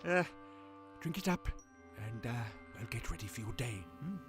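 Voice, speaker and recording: A man's voice: mid-range voice, sing-song, moderate pace, music in the background, close to an everyday voice. An actor playing a kindly, doddering old grandfather in an audio drama, hesitant and fussy, often tagging a little "hmm" onto what he says. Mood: flustered, gentle.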